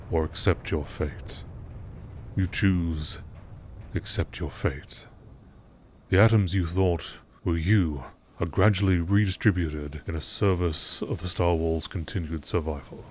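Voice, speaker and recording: A man reading scripted text in a measured, deliberate way, like a nature documentary narrator. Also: sits low, darkish tone, a little hiss or hum. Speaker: narrator reading out video game text logs.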